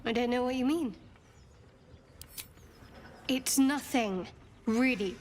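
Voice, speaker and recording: A woman playing a guarded, devout young female cleric of a dark goddess in a fantasy video game, speaking in a measured way. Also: fairly high pitch, slight background noise. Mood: guarded, defensive.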